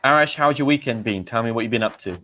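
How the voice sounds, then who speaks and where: A British man hosting a casual English-learning livestream, chatting with viewers and gently correcting their vocabulary. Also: mid-range voice, fast talker, slight background noise. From Britain. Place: home in Tenerife.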